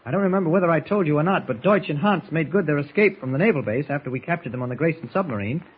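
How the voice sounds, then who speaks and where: An actor playing a calm, shrewd reporter hero in 1940s radio serial style, confident and mentor-like as he reasons. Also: fairly high pitch, very fast, breathy edge, narrow, tinny audio. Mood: calm, reasoning. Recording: thin, band-limited old radio recording.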